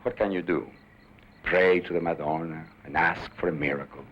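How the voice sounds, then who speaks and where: An actor playing a defiant, embittered outlaw in a western drama, speaking with impassioned intensity. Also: male voice, low-pitched, fairly even intonation, moderate pace, lo-fi sound, a little hiss or hum, lightly acted. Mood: bitter, resigned. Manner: impassioned, swinging between muttering and shouting.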